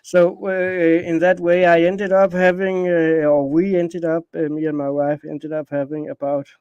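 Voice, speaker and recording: A man's voice: a little high, little pitch movement, normal speed, a little mellow, smooth, no echo, dull audio. A middle-aged Danish man speaking English with a Danish accent, rambling casually as an interview guest. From Denmark. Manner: rambling, many restarts and fillers. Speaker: guest, space anomaly researcher.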